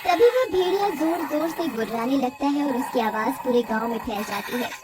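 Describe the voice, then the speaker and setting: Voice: high voice, animated, brisk, heavy background noise. A narrator reading a scripted cartoon horror story.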